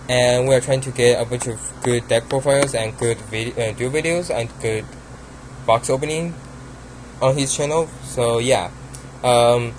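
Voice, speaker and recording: A man's voice: mid-range voice, very noisy. A young man with a Chinese accent, talking casually and hesitantly about his card game deck, with many ums and restarts. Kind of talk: casual deck profile monologue.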